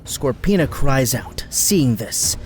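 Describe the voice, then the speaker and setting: A man's voice: medium pitch, lively intonation, slow, soft background music. A man giving dramatic scripted narration as the narrator of an action audio drama.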